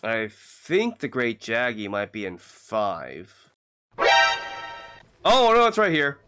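A young man with a congested voice from a cold, casually commenting on his own gameplay and shouting out when his character gets hit. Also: mid-range voice, huge pitch swings, takes it slowly, over music. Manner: chatty, reacting loudly to in-game hits. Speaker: gaming channel host.

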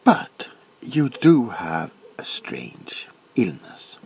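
Guy doing a jittery oddball doctor for funny asmr, slow n breathy, keeps slipping into whispers. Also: medium pitch, huge pitch swings, full character voice. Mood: grave, explaining. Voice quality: soft-spoken, breathy. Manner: hesitant, repetitive, theatrical, often dropping into whispers. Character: nervous, flustered, eccentric male doctor examining a monster. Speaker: ASMR performer. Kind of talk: soft-spoken comedic ASMR doctor roleplay. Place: small cluttered room at home. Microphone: close to the camera.

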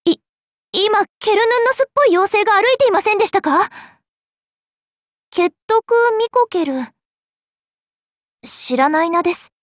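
A woman's voice: very high-pitched, expressive, normal speed, long pauses, no echo, studio quality. A woman playing a polite, earnest, easily startled young witch girl in an anime game. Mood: flustered surprise.

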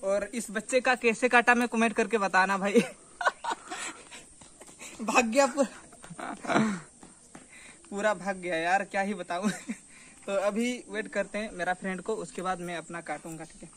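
Young man, high voice, casual vlog chat, informal and repetitive, outdoor phone recording with some noise. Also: measured pace, pauses often, a bit bright. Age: young adult. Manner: informal, repetitive, addresses viewers as friends. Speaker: vlogger. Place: outdoors in a village yard under trees. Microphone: phone camera at arm's length.

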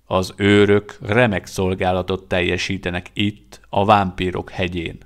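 A man voicing a seasoned male vampire who talks slowly, in a measured and dry way. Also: sits low, level tone. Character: seasoned male vampire, gruff but warm-hearted.

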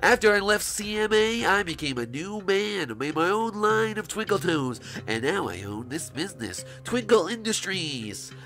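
A man's voice: high-pitched, sing-song, moderate pace, hardly any pauses, sharp tone, faint music behind, heavily acted. A man voicing a smug, theatrical fashion-store owner with a drawling salesman manner.

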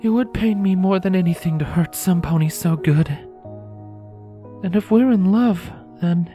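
Woman as a mellow, earnest unicorn mare. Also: lowish voice, measured pace, soft background music, lightly acted. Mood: regretful. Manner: earnest, formal, hesitant. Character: tender, conflicted adult female unicorn. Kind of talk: fan fiction audio drama acting.

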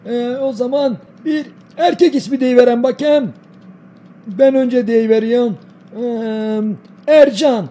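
A man's voice: very high-pitched, mellow. A man voicing a kindly old village grandfather in an educational cartoon, folksy and doting, with a Denizli accent from southwestern Turkey. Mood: relaxed, folksy.

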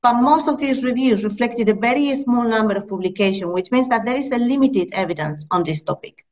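A woman in her thirties with a Spanish accent presents research in a measured, earnest way, pausing on fillers and correcting herself as she goes. She speaks into a laptop mic on a video call from a small room. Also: on the high side, darkish tone. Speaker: midwifery lecturer presenting research. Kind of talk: conference presentation.

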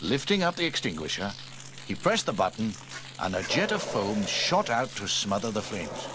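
Lively storybook reader with tape hiss. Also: male voice, normal speed. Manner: lively, switches into higher voices for characters. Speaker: audiobook reader. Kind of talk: storybook narration with character voices. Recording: faint tape hiss from old cassette.

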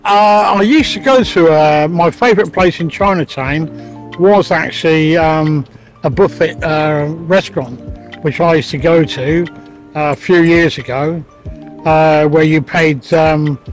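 A man's voice: fairly high pitch, measured pace. A man in his sixties chatting casually and unhurriedly in an outdoor street interview. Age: 60s. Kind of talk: casual chat. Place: bench in open city square. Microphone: handheld furry interview mic. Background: music.

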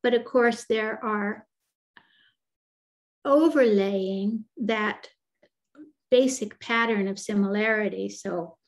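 Older woman, expert, video call, explanatory, ums and restarts, mid pitch. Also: slow, pauses often. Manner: thoughtful, explanatory, with frequent fillers and restarts. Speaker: developmental psychologist guest. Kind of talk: answering questions in a webinar.

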